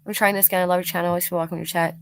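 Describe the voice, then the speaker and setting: A woman's voice: lowish voice, flat delivery, rapid-fire, creaky, clear, resonant tone, dry recording. A blunt, sarcastic woman hosting a live stream, mocking clips, chatting with viewers and swearing freely. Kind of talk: live commentary reacting to clips and reading chat.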